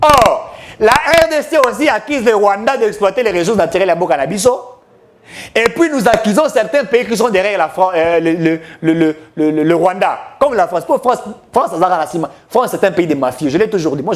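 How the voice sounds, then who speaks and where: High-voiced, emphatic male commentator. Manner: emphatic, declamatory, mixing French with Lingala. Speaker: political commentator. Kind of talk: impassioned political commentary.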